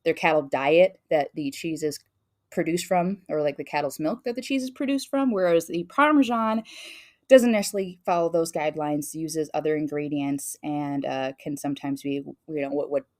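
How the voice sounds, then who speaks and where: A woman's voice: deep voice, moderate pace, a little mellow. A woman co-hosting a podcast, chatting casually and rambling with many fillers and restarts. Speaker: podcast co-host. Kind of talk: casual chat tasting cheese.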